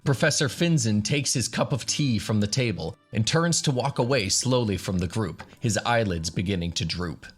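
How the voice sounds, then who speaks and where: Guy narrating a fantasy radio play, low voice, bit of music behind. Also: moderate pace, few pauses, slightly rough. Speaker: narrator, game master.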